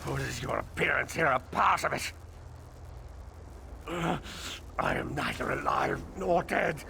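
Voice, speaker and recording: Gravelly, tormented, high-pitched villain. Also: male voice, bright, forceful tone, a little hiss or hum, heavily acted. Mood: anguished. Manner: theatrical, anguished, drawn-out. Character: bitter tormented villain, gloating schemer trapped in agony. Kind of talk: video game voice acting.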